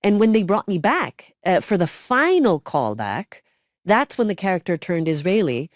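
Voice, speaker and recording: A middle-aged woman co-hosting a casual interview, telling her story in a lively way and acting out the quotes. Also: fairly low pitch, moderate pace, no echo, clean studio sound. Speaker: podcast co-host, former cast member.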